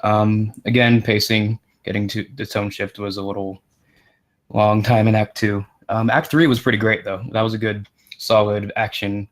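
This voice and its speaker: Guy chatting notes on a script, lowish voice, informal, bit tentative. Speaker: volunteer table reader. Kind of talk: casual feedback talk.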